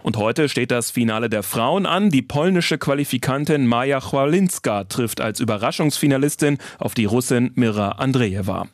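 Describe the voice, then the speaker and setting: A male radio news anchor reading bulletins in a crisp, formal way. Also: mid-range voice, expressive, moderate pace, hardly any pauses, no room sound.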